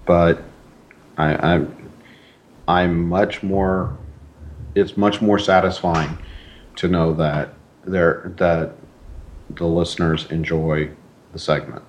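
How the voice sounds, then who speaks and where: A man's voice: low-pitched, fairly even intonation, slow pace, long pauses. A man speaks as an interview guest. He is a writer, and he chats in a modest, earnest, casual way.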